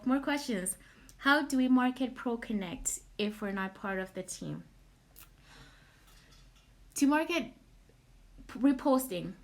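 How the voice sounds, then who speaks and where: A young adult woman from Zimbabwe hosting a casual live question-and-answer session, informal and halting, repeating words as she thinks. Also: medium pitch. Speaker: platform host answering audience questions.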